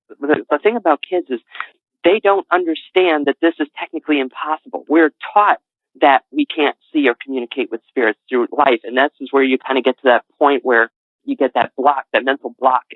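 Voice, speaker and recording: A man's voice: medium pitch, no room sound. Interview guest, man, faint New England accent, earnest and rambling, dry tinny line. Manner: rambling, self-correcting, earnest. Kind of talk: casual interview talk.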